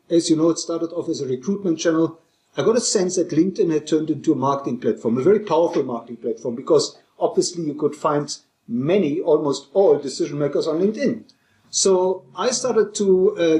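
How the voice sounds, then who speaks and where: German-accented male speaker in his fifties, a little high, warm tone, chatty delivery with fillers, reverberant room. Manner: conversational, with frequent fillers and restarts. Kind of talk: presentation to an audience.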